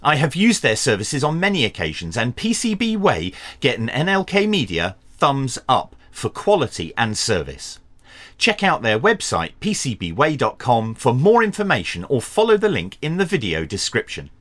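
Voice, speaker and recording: A man presenting a scripted product review in a measured, dryly humorous presenter's delivery. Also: medium pitch, huge pitch swings, a bit bright. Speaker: radio review channel host.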